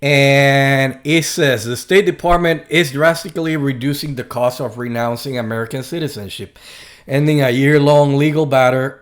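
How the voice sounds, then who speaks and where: Slow, halting Puerto Rican man. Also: mid-range voice. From Puerto Rico. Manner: slow and halting, many fillers and restarts, sarcastic asides. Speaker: video host. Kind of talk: casual monologue to camera.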